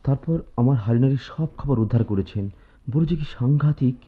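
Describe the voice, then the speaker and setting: An actor in a radio drama playing a troubled, despairing young student in love, downcast and confiding. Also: male voice, mid-range voice, moderate pace, natural voice. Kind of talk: Bengali radio drama acting. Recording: tinny phone-like radio-play recording.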